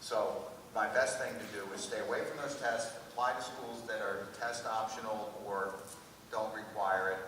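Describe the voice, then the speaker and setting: A man's voice: lowish voice, fairly even intonation, normal speed, fairly bright tone. American man, direct advice-giving, panel setting, long hall reverb, faint noise. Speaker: college admission officer on a panel. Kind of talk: live panel advice to students. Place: auditorium at a public school event. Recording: echoing hall, distant mic, faint noise.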